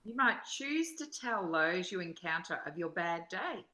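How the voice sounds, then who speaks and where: A woman with a fairly low voice and a bright, forward tone takes part in a spiritual study. Her delivery is measured and careful, like someone reading a text to a partner. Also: sing-song.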